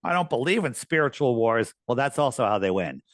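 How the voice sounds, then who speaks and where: A man speaking as a podcast guest, earnest and emphatic, his voice worn and going hoarse. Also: big pitch swings, a little fast, a bit bright, no echo, clean studio sound. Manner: earnest, emphatic, rising pitch on key points. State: losing his voice. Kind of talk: conversational interview talk.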